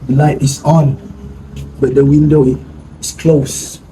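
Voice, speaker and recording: A man's voice: a little high, flat delivery, measured pace, warm tone, slight background noise, lightly acted, poor audio. A man playing a cautious, reluctant man in amateur drama dialogue. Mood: reluctant, watchful.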